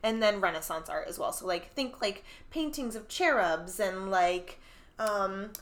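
A woman's voice: medium pitch, a little slow. Upbeat young woman co-host. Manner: agreeable, upbeat, many fillers. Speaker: podcast co-host. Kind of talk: casual chat.

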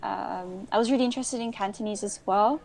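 A woman's voice: mid-range voice, brisk, vocal fry, a little mellow. A young adult woman, chatting as an interview guest in a rambling, digressive way with many restarts. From United Kingdom. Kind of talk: casual interview chat.

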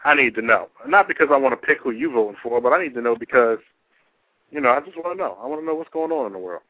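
A man's voice: medium pitch, expressive, fast talker, no echo. Dude hosting a call-in radio show, slangy, repeats stuff, sounds like he's on a phone. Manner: slangy, repeats himself emphatically. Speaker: talk radio host. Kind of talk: casual call-in show chat. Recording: narrow, tinny phone-line radio sound.

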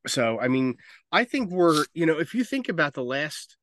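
A man's voice: on the high side, very animated, normal speed, fairly bright tone. A man co-hosting a podcast in casual, opinionated discussion, speaking emphatically and swearing freely. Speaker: podcast co-host.

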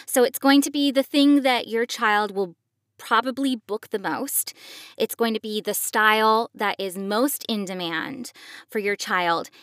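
A woman's voice: fairly high pitch, unhurried, fairly bright tone, dry recording, studio quality. A woman hosting a podcast, explaining things to parents in a conversational way. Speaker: podcast host and voice actor.

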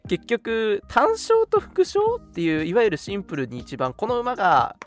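A man chatting casually as a radio co-host, recounting stories in an animated way with acted-out quotes. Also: high voice, moderate pace. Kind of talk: casual chat, recounting an anecdote.

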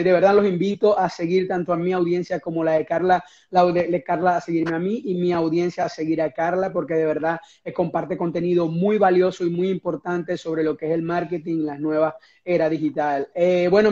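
A man's voice: a little high, warm tone, lo-fi sound. A Venezuelan man in his thirties, a guest marketing director, speaking in an upbeat but hesitant way, with many fillers, over a video call. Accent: Venezuelan Spanish.

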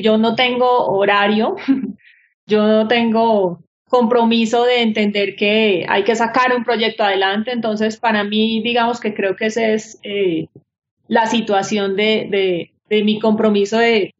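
A woman, an architect and business owner, answering interview questions earnestly with many fillers. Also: a little high. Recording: dull lo-fi sound.

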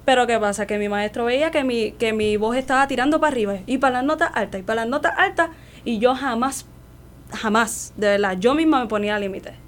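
A young adult woman with a Puerto Rican accent, chatting casually as an interview guest and telling her story in an animated way. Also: a little high, fairly quick, a little hiss or hum. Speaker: guest, classical soprano and voice student.

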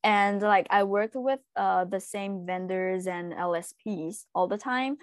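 A woman's voice: medium pitch, no echo. Chinese-accented young woman, dry close sound. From China. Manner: hesitant, frequent fillers and pauses. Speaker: guest, translation student. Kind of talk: casual interview answers.

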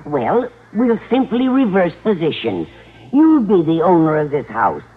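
An actress playing a fluttery, well-meaning older landlady with a husky voice and motherly fussing, in vintage radio sitcom style. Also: on the low side, huge pitch swings, a little slow, heavily acted. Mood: earnest, fussing. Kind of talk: 1950s radio sitcom acting. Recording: tinny old radio with audience.

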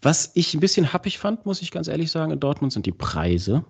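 A man hosting a podcast in casual chat, with a cold, chatty and filling pauses. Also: on the high side, sing-song, gravelly, breathy edge. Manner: chatty, many fillers. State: has a cold. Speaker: podcast host. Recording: dull lo-fi sound.

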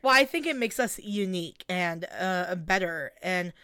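A woman's voice: lowish voice, expressive, normal speed, bright, forceful tone, clean tone, no echo, clean studio sound. A woman in her late twenties co-hosting a podcast, chatty and full of filler words. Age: 20s. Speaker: podcast co-host. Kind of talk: casual chat.

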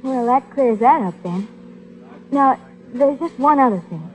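A woman's voice: high voice, expressive, unhurried, soft background music, low-quality recording. An actress playing a composed, questioning female client in a vintage 1940s radio detective drama. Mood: curious.

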